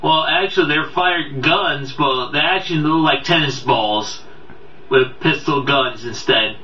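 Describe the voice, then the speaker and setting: A man's voice: on the high side, measured pace, sharp tone, a little hiss or hum. A man giving a casual, unscripted video review, rambling and stumbling as he repeats his words. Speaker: amateur video game movie reviewer. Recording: boxy echoey room, distant dull mic, background audio.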